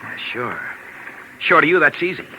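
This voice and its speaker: An actor playing a vengeful, menacing American ex-convict with slangy tough talk in a 1940s radio crime drama. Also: male voice, fairly high pitch, big pitch swings, normal speed. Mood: bitter, brooding. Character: bitter, vengeful ex-convict thug with a knife. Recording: noisy old 1940s radio recording.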